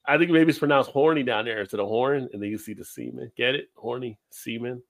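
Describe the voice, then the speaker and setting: A man in casual chat, laughing often and telling stories with animated energy. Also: medium pitch, quick pace, no echo. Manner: laughs often, lively storytelling.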